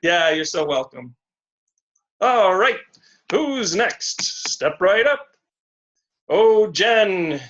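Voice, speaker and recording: A man giving a slow, deliberate, encouraging astrology reading on a video call. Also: high voice, lively intonation, a bit bright, a little hiss or hum. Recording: dull lo-fi video call audio.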